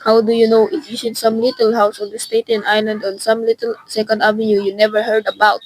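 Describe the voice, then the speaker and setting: A teenage girl playing an unhurried, dismissive police desk sergeant in a radio suspense drama, offhand and patronizing. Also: fairly high pitch, flat delivery, slight background noise, lightly acted, low-quality recording. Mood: skeptical.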